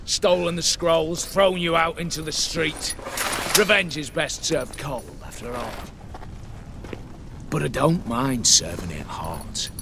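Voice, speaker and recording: An actor playing a smug, embittered schemer out for revenge in a video game, speaking with mocking, theatrical deliberation. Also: male voice, on the high side, expressive, slow, noisy background. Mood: cold menace. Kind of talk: dramatic video game acting.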